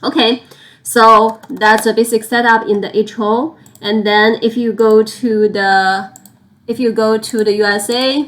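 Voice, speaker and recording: A woman teaching software in an unscripted screen-share tutorial, explaining step by step, often repeating and rephrasing herself. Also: mid-range voice. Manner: halting, repeats and rephrases phrases as she explains. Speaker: software instructor.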